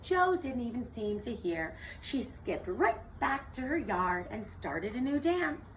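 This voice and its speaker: A woman teacher reading a children's picture book aloud in an expressive, theatrical storytelling manner. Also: fairly high pitch, a little slow. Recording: distant mic with faint noise.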